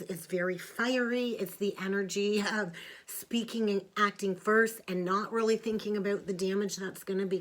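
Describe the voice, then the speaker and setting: A middle-aged woman giving a weekly tarot reading, blunt and frank, with some salty language. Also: medium pitch. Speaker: tarot reader. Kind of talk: spiritual forecast monologue.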